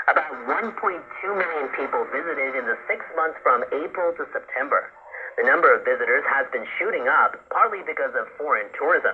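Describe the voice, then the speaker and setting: A man reading a scripted news bulletin as a radio newsreader. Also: mid-range voice. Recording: thin phone-like shortwave radio broadcast.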